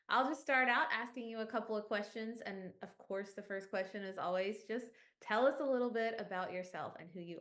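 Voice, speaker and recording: A woman's voice: mid-range voice, fairly bright tone. Upbeat woman host, mid-range voice, recorded in an echoey room. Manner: upbeat, repeats "awesome". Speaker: interview host for an outreach series. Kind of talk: friendly interview hosting.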